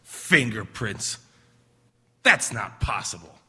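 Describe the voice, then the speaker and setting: A man's voice: fairly low pitch, big pitch swings, slow, slightly rough, full character voice. A greedy schemer of a husband acted by a man with a piercing, hard-edged voice and swinging intonation. He blusters defensively in old-time radio melodrama style, heard in a reverberant hall. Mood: panicked denial. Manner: blustering, forceful.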